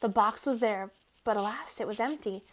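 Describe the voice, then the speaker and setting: A woman reading a children's storybook aloud as an expressive narrator. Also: mid-range voice, moderate pace, slight background noise. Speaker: storybook reader.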